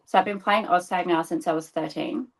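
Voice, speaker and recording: A woman's voice: fairly low pitch, fairly even intonation, a little fast, creaky, rough recording. A woman in her thirties, a podcast guest chatting casually over a video call, laughing often and explaining things patiently. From Australia. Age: 30s. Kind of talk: casual interview chat.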